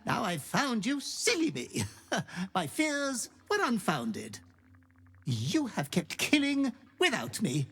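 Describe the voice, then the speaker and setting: A man's voice: high voice, wildly swooping pitch, takes it slowly, fairly bright tone, slightly rough, soft background music, heavily acted. An actor playing an obsequious demon butler who gleefully fawns over his murderous master in a video game. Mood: gleeful fawning. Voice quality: fawning, gleefully ghoulish.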